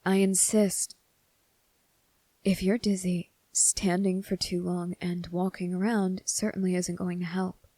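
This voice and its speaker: A woman playing a kind, earnest teenage boy cadet in an ASMR roleplay, speaking gently, politely and with some hesitation. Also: fairly low pitch, flat delivery, measured pace, no room sound. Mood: concerned, insistent.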